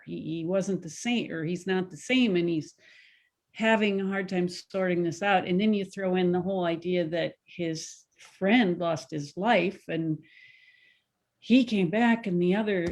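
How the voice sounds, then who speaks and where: A woman explaining her creative intent as a playwright, haltingly searching for words. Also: on the low side, a little mellow. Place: virtual video call.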